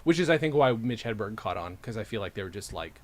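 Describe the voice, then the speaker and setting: A man's voice: on the low side, fast. A man from upstate New York hosting a podcast, chatting casually, going off on tangents and poking fun at himself. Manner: animated, tangent-prone, self-deprecating. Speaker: podcast host.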